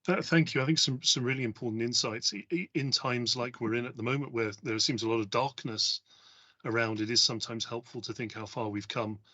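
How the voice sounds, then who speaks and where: Bright-voiced man moderating a panel. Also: medium pitch, a little fast, no echo, highs cut off.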